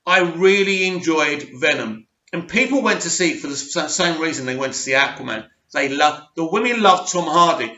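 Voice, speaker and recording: A man hosting a show, giving blunt, emphatic opinions in a slightly croaky voice. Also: on the high side, bright, forceful tone. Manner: blunt, emphatic, repeats words for stress. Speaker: host. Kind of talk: opinionated commentary monologue. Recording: roomy, dull lo-fi sound.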